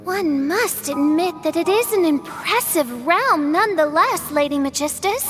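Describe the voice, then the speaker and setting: A grandiose teenage princess in a video game. She declaims in pompous, archaic phrases with theatrical flourish. Music plays clearly behind her. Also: extremely high pitch, expressive, unhurried. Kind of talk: English game dub acting.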